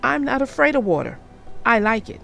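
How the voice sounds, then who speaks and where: A woman voicing a cheeky, boastful young male tank engine in a read-aloud storybook, speaking loudly. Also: fairly high pitch, big pitch swings, moderate pace, vocal fry, exaggerated voice.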